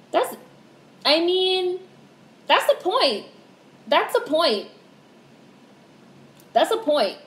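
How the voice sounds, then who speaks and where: Monotone young woman chatting with livestream viewers. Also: a little high. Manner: candid, swears casually, reacts to chat. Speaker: livestream host. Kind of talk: casual livestream chat reading comments. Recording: roomy echo with faint background hiss.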